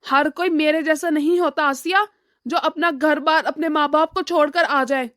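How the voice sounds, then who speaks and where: A woman voicing a weary, moralizing middle-aged father who lectures his family in an animated drama. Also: sits high, moderate pace, no room sound, clean studio sound. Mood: earnest, admonishing. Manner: admonishing, lecturing.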